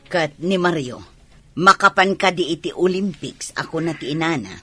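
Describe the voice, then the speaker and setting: A hopeful village parent with a husky, forceful voice and an Ilocano Filipino accent. The radio drama delivery is wistful and slightly high in pitch. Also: male voice, normal speed, dry recording, full character voice.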